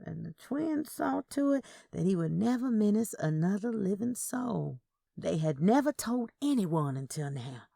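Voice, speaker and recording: A woman's voice: on the low side, creaky, warm tone, dry recording, studio quality. A woman reading a scripted first-person story as its narrator.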